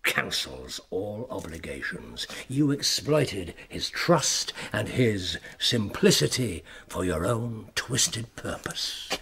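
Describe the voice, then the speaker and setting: An actor playing a stern English gentleman detective in a radio drama, speaking precisely and deliberately, with an accusing edge. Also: fairly low pitch, sing-song, vocal fry, background noise, full character voice. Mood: grave, condemning.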